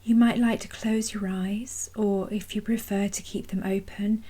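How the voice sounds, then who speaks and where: A woman leading a guided meditation in a soft, breathy, husky voice, gently and without hurry, leaving long pauses. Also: medium pitch, little pitch movement. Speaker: mindfulness practice guide. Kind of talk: guided body scan meditation.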